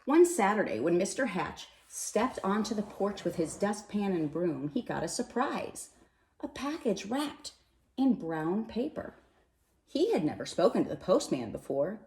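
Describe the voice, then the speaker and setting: Animated woman reading a storybook, roomy echo. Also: mid-range voice. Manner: animated, dramatic pauses and emphasis, addressing young listeners. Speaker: storybook reader. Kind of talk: expressive read-aloud of a children's picture book. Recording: roomy, echoey sound.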